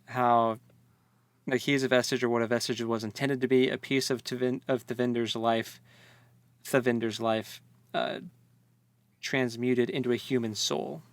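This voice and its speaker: A man's voice: medium pitch, level tone, moderate pace, pauses often, no echo. A man co-hosting a casual book discussion, speaking informally and jokily with tentative phrasing. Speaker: podcast co-host.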